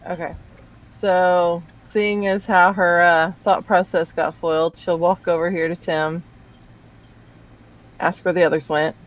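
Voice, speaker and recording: A woman's voice: fairly low pitch, little pitch movement, measured pace, muffled recording. Woman playing a tabletop game. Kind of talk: casual game talk.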